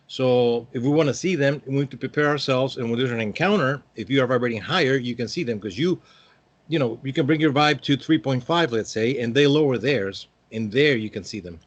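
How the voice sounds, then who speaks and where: A man in his fifties from Peru. He sits as a guest in a relaxed interview, and his voice sits at an ordinary middle pitch. He rambles along with plenty of "um"s and restarts. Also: muffled recording. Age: 50s. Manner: rambling, hesitant, many fillers. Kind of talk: casual interview talk.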